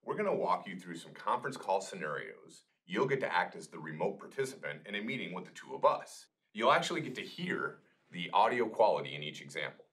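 A man's voice: low-pitched, sing-song, a little fast, a bit bright. A middle-aged man giving a scripted product presentation to camera in a small meeting room. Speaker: product manager presenting a demonstration.

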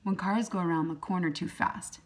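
A woman reading a children's picture book aloud as a teacher, in simple, deliberate short phrases. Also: on the low side, noisy background. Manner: acts out sound effects. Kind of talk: children's storybook read-aloud.

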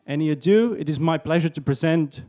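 A man's voice: on the high side, a little fast, dark tone. A man moderating a conference session in a lecture hall, explaining how to ask questions and introducing the next speaker in a formal way. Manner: formal, organizational. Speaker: event moderator. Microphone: handheld mic.

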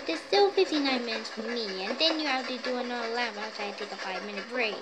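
A performer voicing a stern, coolly controlling female cat in an amateur comic dub, measured and condescending. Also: mid-range voice, lightly acted, low-quality recording. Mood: cold, bossy.

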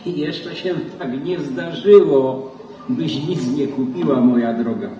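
Grumbling 1920s husband in his sixties played by a man, dark and unhurried, radio play from an echoey stage. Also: medium pitch, natural voice. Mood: dry grumbling. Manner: grumbling, drawn-out. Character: grumbling, henpecking-weary older 1920s husband. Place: large theatre stage. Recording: echoey stage, distant, faint noise.

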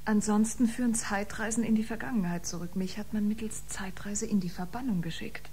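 An actress in a German science fiction radio drama playing a proud, wounded exiled biomusician, speaking dramatically with breathy deliberation. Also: female voice, mid-range voice, steady tone, a little fast, mellow, slight background noise, close to an everyday voice. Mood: resigned, brooding.

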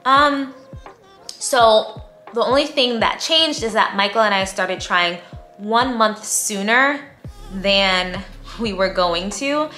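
A woman, chatting in a bubbly, talkative way as she vlogs to camera in a bright room at home. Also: medium pitch, animated, slow, roomy sound, soft background music. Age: 30s. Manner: bubbly, chatty, talks with her hands. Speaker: lifestyle vlogger. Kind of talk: casual talk to camera.

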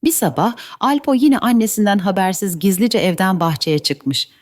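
A woman's voice: mid-range voice, moderate pace, a little mellow, dry recording, studio quality. A woman narrating a scripted children's story as the storyteller. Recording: faint background noise.

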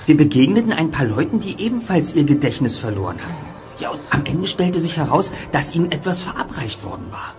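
A smart teen detective, explains everything step by step, lively voice, music behind. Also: male voice, fairly high pitch, brisk. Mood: explaining. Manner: methodical, explanatory. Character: clever young male detective, lecturing. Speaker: lead detective. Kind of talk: radio drama acting.

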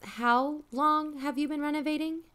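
A woman's voice: high-pitched, fairly even intonation, normal speed, fairly bright tone. A woman playing an inquisitive, matter-of-fact podcast investigator in a mock-documentary audio drama. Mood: probing.

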